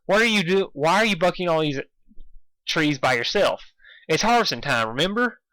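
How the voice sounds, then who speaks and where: A bright-voiced, bookish young male pony, read by a man, a little high in pitch. He is sensible and concerned, reasoning things out like a lecturer in a cartoon storybook tale. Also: animated, moderate pace, dry recording, rough recording. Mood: friendly, puzzled.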